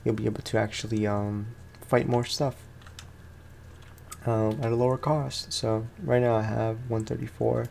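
A man's voice: mid-range voice, flat delivery, normal speed, creaky, darkish tone, slight background noise. A young man, sounding tired, gives casual let's play commentary as a gaming host, rambling along in slangy, informal speech.

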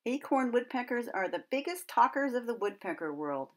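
A woman's voice: fairly high pitch, big pitch swings, moderate pace. A middle-aged woman presenting as a nature educator, explaining things to young children in simple words with questions to her listeners.

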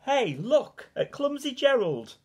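Excitable storybook jungle beast, played by a male reader, raised pitch, loud exclamations. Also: slow pace, breathy edge, dull audio, heavily acted. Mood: mocking. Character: mocking, then amazed crowd of jungle animals.

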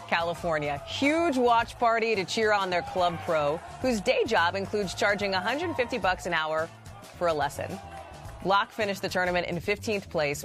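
A woman's voice: mid-range voice, a bit bright. American news anchor woman over music. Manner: conversational, emphatic. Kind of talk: lively scripted TV narration. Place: TV studio.

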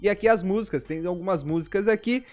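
Young brazilian dude, high pitched, slangy gameplay chat, faint music behind. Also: male voice, quick pace. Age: 20s. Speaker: gaming YouTuber. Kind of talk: casual gameplay commentary with slang.

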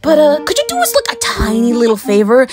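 A woman's voice: on the high side, huge pitch swings, quick pace. A woman voicing a cheeky young hero with snarky mock politeness in a fan cartoon dub. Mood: passive-aggressive.

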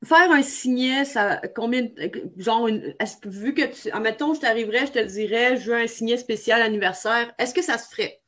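A woman with a Quebec French accent hosts an online chat in a fairly high voice. She puts her questions informally, wandering through them as she goes. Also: normal speed, hardly any pauses, a bit bright. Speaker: live show host, interviewer. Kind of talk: casual chat, interviewing. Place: indoor room, on a video call. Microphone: webcam video call.

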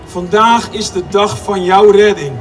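A man's voice: high voice, fairly even intonation, a little slow. A man interpreting a street sermon line by line, restating each phrase with occasional restarts. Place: outdoor square by a stage. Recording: distant PA voice, music behind.

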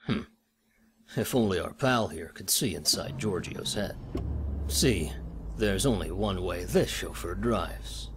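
Very low, wry storyteller. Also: male voice, slow, background noise. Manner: wry, theatrical. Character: folksy, wry male storyteller of a comic game. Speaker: narrator. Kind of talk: playful scripted storybook-like narration.

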